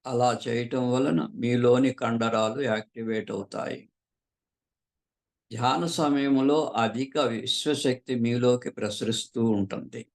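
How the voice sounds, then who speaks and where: A man leading a guided meditation as a teacher, calmly and unhurriedly, pausing often between short phrases. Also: mid-range voice, gravelly. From southern India.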